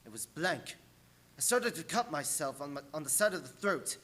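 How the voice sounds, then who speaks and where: A man playing an anxious young English gentleman, a trapped guest earnestly telling his diary in a dramatic radio play. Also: fairly high pitch, expressive, moderate pace. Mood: hushed, fearful. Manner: earnest, dramatic, increasingly desperate. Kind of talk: live radio drama narration and acting.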